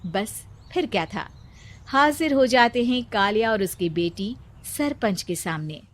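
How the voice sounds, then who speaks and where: Calm woman narrating a storybook. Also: a little high, measured pace.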